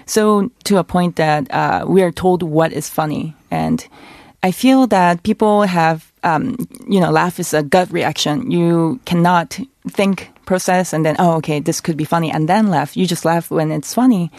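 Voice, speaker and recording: A woman's voice: lowish voice, normal speed, a little mellow, dry recording, studio quality. A woman from Korea, a comedian guest, explaining her views thoughtfully with frequent ums. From South Korea. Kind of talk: interview answers.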